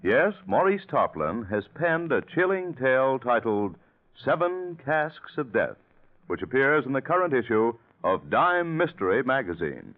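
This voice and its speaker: A man working as a radio announcer, reading scripted copy in a formal, emphatic 1940s broadcast style. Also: medium pitch. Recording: tinny vintage radio with faint music.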